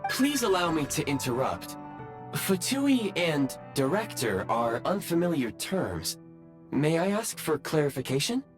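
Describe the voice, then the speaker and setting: A man's voice: fairly high pitch, very animated, unhurried, over music. A man voicing a courteous, literal-minded robot helper in a video game, speaking formally and precisely. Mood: formal, analytical. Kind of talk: video game character dialogue.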